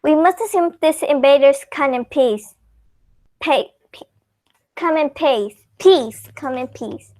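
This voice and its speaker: Brief: actress as a bumbling lady general, high voice, stumbling official delivery, close dry recording. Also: expressive, mellow, no echo. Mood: defensive. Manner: halting, garbles words. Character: flustered, bumbling female army general at press briefing. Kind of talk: comic radio play acting.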